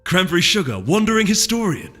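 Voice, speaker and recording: A man's voice: sits high, sing-song, fairly quick, bright, forceful tone, pitched above the performer's own voice, full character voice. Man as a wry young stallion, reverberant. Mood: teasing. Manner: wry, nonchalant. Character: young male pony, wry, teasing, hiding disappointment. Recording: long reverb with music underneath.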